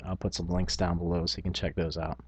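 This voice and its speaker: Low-pitched, husky man chatting casually. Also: flat delivery, quick pace, dry recording. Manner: informal, thinking aloud. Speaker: tabletop gaming video blogger. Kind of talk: casual talk to camera.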